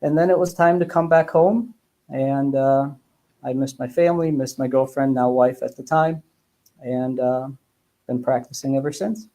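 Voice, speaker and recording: A man in his thirties giving measured, casual interview answers with hesitant pauses. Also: mid-range voice, steady tone, mellow. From Bosnia, grew up in Germany and the United States. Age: 30s. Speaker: guest, dentist.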